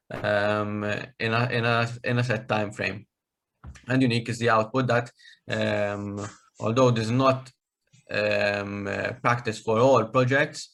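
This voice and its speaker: A man's voice: mid-range voice, a bit bright. A man presenting an online workshop on project writing over a video call, hesitant with many fillers and restarts. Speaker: workshop trainer.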